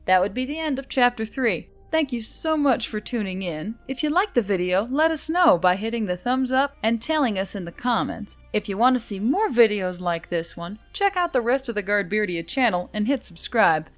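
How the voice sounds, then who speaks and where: A woman's voice: fairly high pitch, lively intonation, normal speed, few pauses, no echo. A woman reading scripted audiobook-style fiction as a story narrator, with music behind her. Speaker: story narrator, channel reader. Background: background music.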